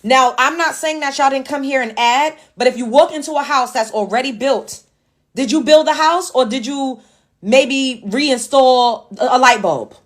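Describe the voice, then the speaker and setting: A woman's voice: high voice, moderate pace. A woman in a played clip delivering a blunt, impassioned monologue full of rhetorical questions.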